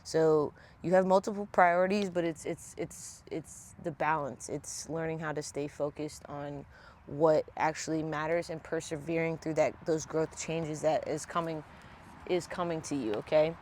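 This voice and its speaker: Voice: mid-range voice, fairly even intonation, moderate pace, a little mellow, a little hiss or hum. A tarot reader with a congested, stuffy voice, giving a casual reading at home in blunt, profane everyday talk. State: congested from a sinus infection.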